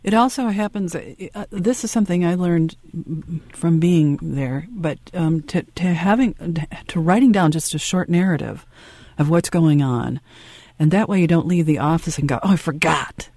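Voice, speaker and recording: A man hosting a call-in radio show, speaking haltingly and repeating words as he goes. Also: fairly high pitch, a little mellow, no room sound, clean studio sound. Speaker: radio host. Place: radio studio.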